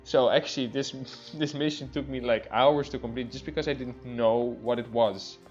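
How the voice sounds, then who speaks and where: A man's voice: mid-range voice, steady tone, moderate pace, darkish tone, muffled recording, soft background music. A man with a Dutch accent, a non-native English speaker, casually commenting while playing a game, chatty with many fillers. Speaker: let's play host.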